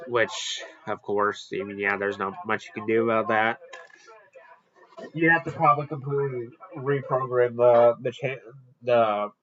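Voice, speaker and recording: A man's voice: medium pitch, fairly bright tone, lo-fi sound. A man giving casual, off-the-cuff commentary as he hosts a product ranking. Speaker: host ranking weather radios.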